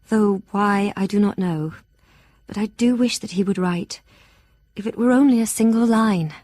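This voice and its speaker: A woman's voice: mid-range voice, mellow, dry recording, natural voice. An actress as an anxious young Victorian Englishwoman. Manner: earnest, formal, sighing. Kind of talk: audio drama journal narration.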